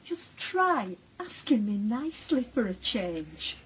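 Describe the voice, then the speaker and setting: A cutting, long-suffering Northern English wife in a radio drama. Her high voice rises and falls sharply with sarcasm, over faint background noise. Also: very animated, takes it slowly, rough recording. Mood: scornful. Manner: dry, cutting, bickering. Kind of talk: naturalistic British radio drama acting.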